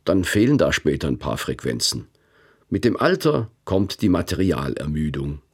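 A man's voice: rumbling low, sing-song, moderate pace, husky. A man in his fifties hosting a radio feature, narrating in a reflective, wry first-person way. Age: 50s.

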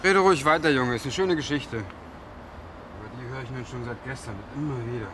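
A male actor plays an idealistic drifter in a radio drama. His voice sits at a middle pitch and he talks in clipped, insistent phrases. Urban noise is plainly heard around him. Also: normal speed, a bit bright, close to an everyday voice. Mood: dry mockery. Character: earnest homeless male agitator, dreaming of protest march. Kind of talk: German radio drama acting.

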